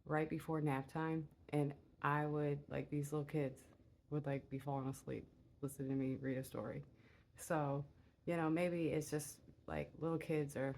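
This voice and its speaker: Soft low-voiced woman doing a chill rambly tarot reading, lots of uhs. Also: normal speed. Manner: rambling and meandering, many filler "uh"s; relaxed and low-key. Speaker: tarot reader. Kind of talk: casual spoken tarot reading.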